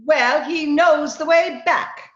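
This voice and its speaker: A woman's voice: sits high, takes it slowly, a bit bright. A woman playing a patient, wry, affectionate wife in an old-time radio comedy. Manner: wry, soothing. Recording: dull, echoey internet call recording.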